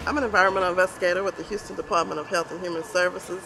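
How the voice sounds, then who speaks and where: A woman's voice: lowish voice, fairly even intonation, rapid-fire, gravelly, over music, poor audio. A middle-aged woman, an environmental investigator, giving an interview testimonial outdoors. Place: outdoors beside a building.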